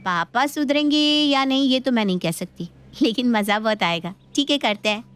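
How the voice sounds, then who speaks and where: A woman voicing a playful, outspoken young daughter in an animated moral story, with a chatty, teasing manner. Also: fairly high pitch, normal speed, clean tone, natural voice. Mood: gleeful.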